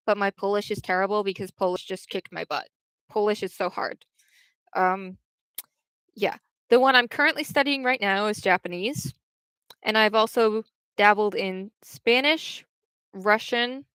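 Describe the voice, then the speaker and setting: A young woman talks casually to her livestream chat, wandering through her thoughts with frequent restarts. Her voice is smooth and resonant, and it is recorded close and dry, with no room sound. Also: fairly high pitch, a bit bright, no echo. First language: English.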